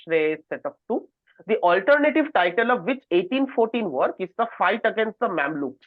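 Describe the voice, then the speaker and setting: Higher-voiced male quiz host, phone-like sound. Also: dry recording. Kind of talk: reading quiz questions, moderating contestants. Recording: narrow phone-like online call audio.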